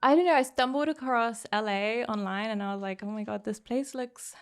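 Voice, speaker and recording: A woman in her twenties with an English accent, chatting as a podcast guest in a bubbly, animated way. Her voice sits a little high and sounds smooth and clear. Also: fairly quick. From England, born in Poland. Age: 20s. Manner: chatty and animated, lots of "like" and "oh my God". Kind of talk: casual interview chat.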